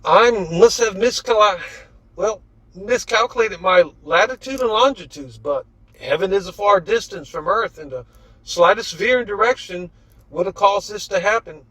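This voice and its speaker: A man voicing a self-satisfied, scatterbrained male inventor from a novel, flustered and quick to justify himself. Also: high voice, lively intonation, a bit bright, no room sound, voice pushed up, rough recording. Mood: pleased, explaining.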